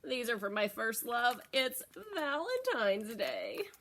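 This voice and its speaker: A woman's voice: on the high side, huge pitch swings, slow, fairly bright tone, full character voice. A woman voicing an eager, devoted male goat in a children's storybook, sweet, proud and emphatic. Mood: polite, emphatic. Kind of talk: children's storybook read-aloud.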